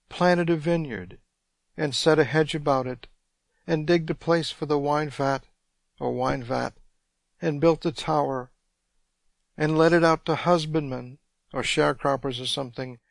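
A man preaching a radio sermon, congested, reading scripture slowly and deliberately. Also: fairly high pitch, vocal fry, no echo.

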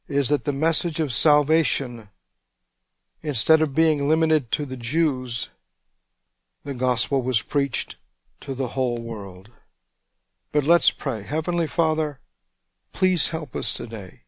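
A man preaching a radio sermon, congested, reading scripture slowly and deliberately. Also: fairly high pitch, no echo.

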